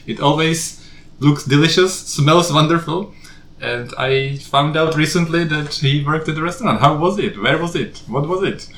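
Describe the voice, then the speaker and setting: Podcast host, mid-pitched, giggly and inquisitive, roomy recording. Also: normal speed, a bit bright. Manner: laughs often, asks many questions. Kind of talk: casual chat.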